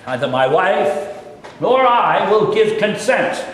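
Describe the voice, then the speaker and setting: A stern, stubborn adoptive father, voiced by a man with a high, lively voice and a slow, deliberate delivery. He is blunt and unyielding. The sound is soaked in long hall echo with faint noise. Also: full character voice. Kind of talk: staged play reading. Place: theater stage.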